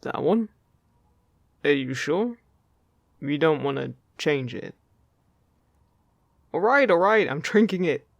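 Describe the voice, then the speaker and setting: A man acts out a playful male fairy in a fantasy audio roleplay, speaking close and dry. His voice is lightly husky with some creak, and he talks in a casual, teasing, reassuring way. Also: fairly high pitch, sing-song, slow, pauses often, no echo, heavily acted. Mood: teasing, flustered. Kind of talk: scripted audio roleplay addressed to the listener.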